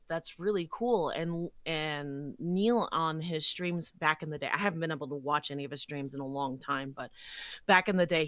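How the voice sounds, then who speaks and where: A woman's voice: low-pitched, fairly bright tone, dry recording. A woman talking casually as an interview guest, earnest and a little hesitant. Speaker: podcast guest and voice actor.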